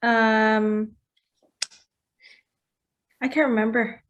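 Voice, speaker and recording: A woman's voice: a little high, little pitch movement, dull audio. Teasing woman co-hosting a casual podcast. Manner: teasing, quick reactions and playful questions. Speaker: podcast co-host. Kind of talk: casual chat.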